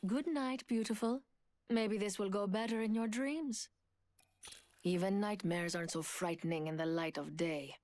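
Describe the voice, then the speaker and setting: An actress playing a poised, confident, seductive thief in a video game, with cool, teasing delivery. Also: female voice, medium pitch, moderate pace, darkish tone, lightly acted. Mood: teasing confidence. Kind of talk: video game character voice lines.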